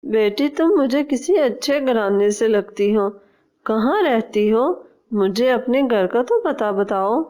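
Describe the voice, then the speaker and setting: Lady playing a kind older auntie bird in a cartoon, polite, slow, highish voice. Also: animated, mellow. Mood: weary, grateful. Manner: polite, appreciative. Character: gentle older mother bird seeking a bride.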